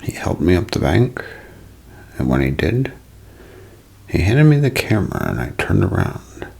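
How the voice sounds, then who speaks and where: Guy doing a awestruck woman eyewitness, calm, low breathy half whisper, really slow, asmr storytelling. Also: exaggerated voice. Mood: calm awe. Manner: slow, deliberate. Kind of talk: ASMR role-play storytelling.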